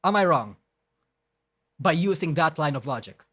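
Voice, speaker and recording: A male streamer with a voice a touch higher than most men's, arguing his points emphatically on a live broadcast. He trips over his words and starts sentences over, and he swears bluntly as he goes. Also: animated, normal speed, sharp tone. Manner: emphatic, argumentative, with frequent restarts; blunt and profane. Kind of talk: live-stream commentary reading and rebutting a document.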